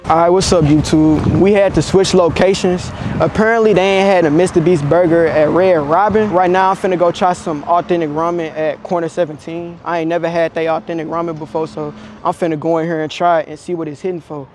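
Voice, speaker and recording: A man's voice: on the high side, steady tone, fairly quick, hardly any pauses, darkish tone, a little hiss or hum. A man in his twenties chatting casually and slangily in public, as a prankster vlogger. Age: 20s. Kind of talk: casual slangy street chat. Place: public places, in a store and out on city streets.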